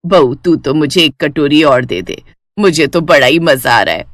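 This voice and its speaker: A woman's voice: low voice, normal speed, no room sound, studio quality, voice pushed down, lightly acted. A woman voicing a blunt, outspoken elderly mother-in-law in a cartoon story. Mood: delighted, chatty.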